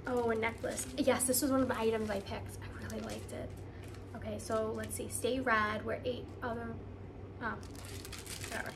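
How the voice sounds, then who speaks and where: Female vlogger, excited and conversational, slightly high pitch, casual unboxing delivery, faint noise on the recording. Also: moderate pace. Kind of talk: casual unboxing chat to camera.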